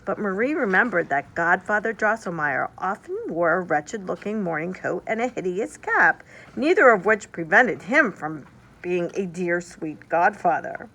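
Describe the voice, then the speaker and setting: A woman reading a children's story aloud like a teacher, leaning deliberately on descriptive words. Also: fairly low pitch, lively intonation, fairly bright tone, low-quality recording.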